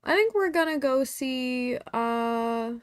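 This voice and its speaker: A woman streamer chatting casually and rambling with lots of fillers, tired and with her voice going hoarse. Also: high-pitched, expressive, slow. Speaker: craft streamer. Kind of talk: casual livestream chat.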